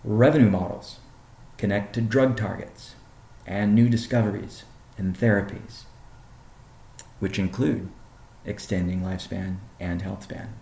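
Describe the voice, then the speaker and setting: A man presenting as a company chief on a business conference call, speaking in measured, deliberate phrases. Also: on the low side, fairly even intonation, creaky, mellow. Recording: roomy, echoing sound.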